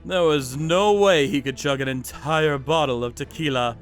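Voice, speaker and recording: A man's voice: a little high, normal speed, faint music behind, lightly acted. A man voicing a cold, vengeful vigilante who narrates his revenge in a manga dub, menacing and mocking. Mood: cold, contemptuous. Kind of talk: first-person manga dub narration with acted dialogue.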